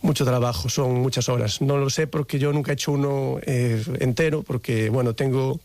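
A man's voice: mid-range voice, fairly even intonation, normal speed, a little mellow, dry recording. A young man from Galicia, a guest explaining his craft videos in a radio interview, thoughtful and hesitant with many fillers. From Galicia, Spain. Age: young adult.

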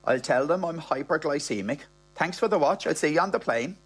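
A brazen, scheming woman acted out by a male narrator. The voice is pitched up and matter-of-fact, breezily sure of itself, as in a comic audiobook. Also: animated, a little fast, husky, faint music behind. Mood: breezy, brazen. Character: brazen, scheming working-class woman, sweet-talking shoplifter.

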